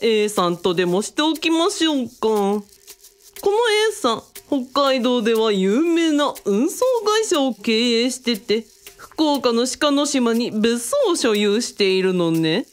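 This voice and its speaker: A woman's voice: on the high side, sing-song, measured pace. A woman playing a self-assured, worldly female mentor who talks in a teasing, feminine way in a radio drama. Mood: confiding.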